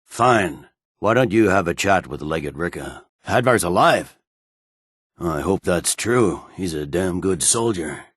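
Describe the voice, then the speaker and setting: This is a stern male general from a fantasy video game. His voice is deep and recorded very dry. He speaks in a clipped, formal, commanding way, like a weary officer used to being obeyed. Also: animated, a little slow, a bit bright, slightly rough, no echo, heavily acted. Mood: measured, dry. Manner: authoritative, clipped, brusque, formal. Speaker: military commander. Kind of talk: scripted video game dialogue.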